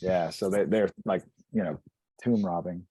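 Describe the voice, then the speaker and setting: Man, fairly low voice, soft dark tone, casual game-table chat, dry sound. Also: steady tone, normal speed, no echo, low-quality recording.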